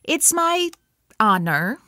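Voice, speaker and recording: An actress playing a dry, deadpan woman section chief in a comedy audio drama. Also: fairly high pitch, takes it slowly, heavily acted.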